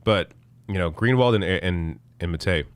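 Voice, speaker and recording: A man in his thirties, hoarse from losing his voice, hosting a casual live political stream with blunt, profane commentary and frequent restarts. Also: deep voice, a little slow, no room sound. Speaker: political show host reading viewer chat.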